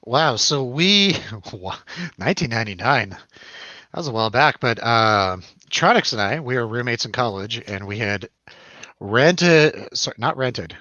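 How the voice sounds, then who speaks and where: Man, casual film-show host, rambling and sweary, bright punchy voice, dry but slightly dull recording. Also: medium pitch, lively intonation, a little slow, no room sound. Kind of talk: casual chat, film review over drinks.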